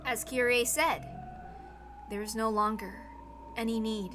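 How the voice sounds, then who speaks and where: A woman's voice: on the high side, steady tone, measured pace, background noise. A woman reading a visual novel aloud as a dramatic narrator. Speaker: narrator, story reader.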